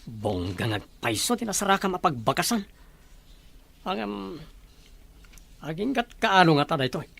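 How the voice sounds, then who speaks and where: A woman's voice: on the low side, very animated, moderate pace, gravelly, pauses often, fairly bright tone, exaggerated voice. A woman playing a nosy, blunt, gossiping friend in an audio drama. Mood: nosy, admiring.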